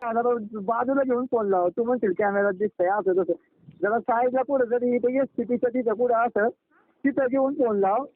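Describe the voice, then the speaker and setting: A man's voice: very high voice, normal speed, no echo. A young man chatting casually with a friend in an insistent, blunt, repetitive way on a phone call. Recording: narrow, tinny phone call recording.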